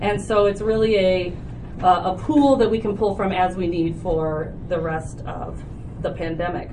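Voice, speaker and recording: A woman's voice: fairly low pitch, fairly even intonation, measured pace. Woman presenting a budget at a meeting, far from the mic. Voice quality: loud voice. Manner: explanatory, organized, with frequent "so" and "uh". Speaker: health department official presenting to committee. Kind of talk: formal public meeting presentation. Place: county board meeting room. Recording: distant, noisy room recording.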